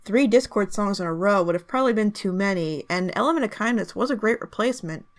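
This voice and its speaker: A woman's voice: mid-range voice, animated, fast. A woman narrating a review in the style of an online video commentary.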